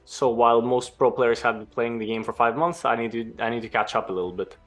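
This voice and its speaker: A young man from Greece, a former pro gamer, answering interview questions in a relaxed, explanatory way. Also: medium pitch, fairly quick, faint music behind. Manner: relaxed, explanatory, some restarts.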